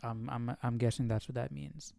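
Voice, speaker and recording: A man's voice: medium pitch, steady tone, moderate pace, creaky, dark tone, no room sound. A man hosting a casual live Bible study, reading and puzzling aloud as he repeats phrases.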